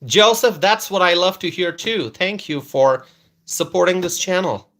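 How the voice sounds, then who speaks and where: A man hosts a political live stream in a bright, forceful voice pitched a touch high. He keeps circling back to the same points and closes them with "okay?", stopping to greet viewers by name. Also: lively intonation, measured pace. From Bangladesh. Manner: repetitive, keeps adding "okay?" tag questions; hesitant fillers and restarts, greets viewers by name.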